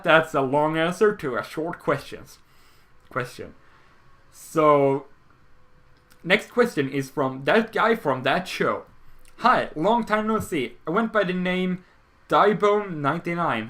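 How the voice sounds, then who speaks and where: Young man, slightly high voice. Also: fairly bright tone. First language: Swedish. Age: 20s. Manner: rambling and self-correcting, with frequent restarts. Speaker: YouTuber answering viewer questions. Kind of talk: casual Q&A vlog.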